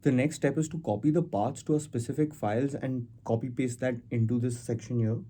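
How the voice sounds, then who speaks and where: Male tutorial host, very dark mellow tone, casual step-by-step walkthrough delivery, mid-range pitch. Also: fairly even intonation, brisk. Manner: step-by-step explaining. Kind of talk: casual screen-recorded software walkthrough.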